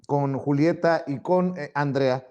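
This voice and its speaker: Mexican guy hosting a politics show, slightly high voice, casual wry chat. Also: slow, mellow, clean tone. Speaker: interview host.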